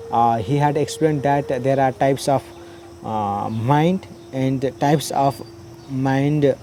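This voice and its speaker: Man with a Nepali accent, mid-pitched, halting unscripted testimony, audible noise behind. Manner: halting, many fillers and restarts. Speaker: meditation practitioner sharing experience.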